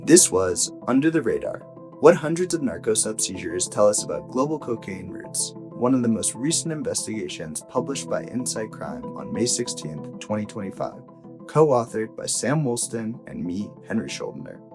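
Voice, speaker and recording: A man reading a scripted audio news investigation as a journalist, with measured, deliberate phrasing and emphatic pauses. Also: lowish voice, big pitch swings, over music.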